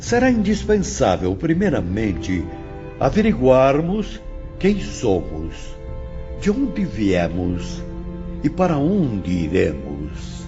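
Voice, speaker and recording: Solemn, slow-spoken spiritual teacher. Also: male voice, mid-range voice, huge pitch swings. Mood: grave, exhorting. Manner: didactic, measured, grandiloquent. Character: solemn, patient male spiritual teacher lecturing his pupils. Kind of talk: audiobook drama acting. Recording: dull lo-fi audio, faint music behind.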